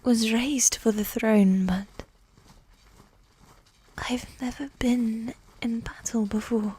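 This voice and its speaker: A woman playing a regal, seductive, coolly manipulative evil queen in a medieval ASMR roleplay, speaking in a soft, breathy whisper with formal, measured phrasing. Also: fairly high pitch, fairly even intonation. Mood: tense, tender. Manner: slow, measured, formal, with long pauses.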